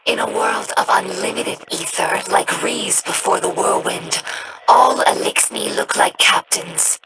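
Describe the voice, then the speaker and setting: An actress voicing a young female alien scholar in a video game, earnest and reflective, with a breathy rasp and a slow, solemn delivery. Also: medium pitch, very noisy. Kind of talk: game character dialogue.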